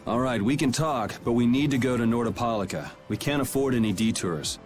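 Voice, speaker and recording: A man's voice: mid-range voice, a little fast, faint music behind, natural voice. A man playing a cool, self-assured young guild swordsman in a video game, with a dry, laid-back delivery. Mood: wry, guarded. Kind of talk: video game dub acting.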